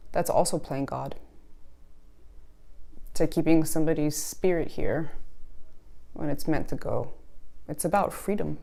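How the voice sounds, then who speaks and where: A woman's voice: sits low, vocal fry, warm tone. A woman in her thirties from California, German her first language with a slight German cadence, speaking earnestly and reflectively about her life. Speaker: interviewee. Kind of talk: personal testimony to camera.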